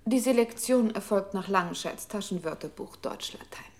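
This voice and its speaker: A woman's voice: mid-range voice, moderate pace, lightly acted. A woman playing a coolly methodical computer control unit in a German radio play, announcing instructions in clipped, impersonal phrases. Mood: coolly procedural. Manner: impersonal, precise, reads numbers and instructions out.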